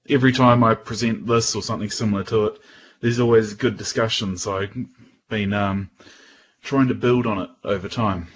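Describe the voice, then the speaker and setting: A man with a New Zealand accent giving an informal technical presentation in a casual, halting way. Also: on the low side, breathy edge, muffled recording. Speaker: guest presenter at a tech user group.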